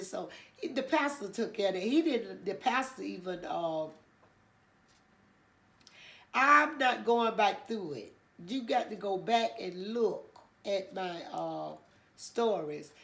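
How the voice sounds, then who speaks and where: An older woman speaks slowly and rambles through a casual chat, full of pauses and fillers. Her voice is congested and nasal, with a gravelly fry underneath. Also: mid-range voice. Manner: slow, rambling with many fillers. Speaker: storytelling channel host.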